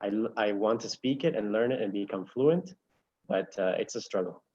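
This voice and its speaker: Low-voiced man on a dull lo-fi mic. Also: husky. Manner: warm enthusiasm, lists with pauses. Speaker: diplomat. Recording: dull lo-fi sound.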